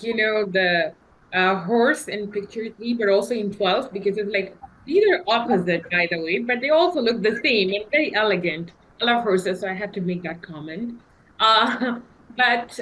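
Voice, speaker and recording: Candid young woman with medium pitch. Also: fairly bright tone, low-quality recording. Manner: chatty, candid. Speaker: author and panelist. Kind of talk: casual personal storytelling on a panel.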